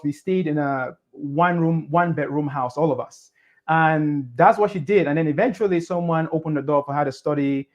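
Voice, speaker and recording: A man, a podcast guest, telling his life story in a casual, animated way, pushing his voice up on the points he stresses. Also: fairly high pitch. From Cameroon.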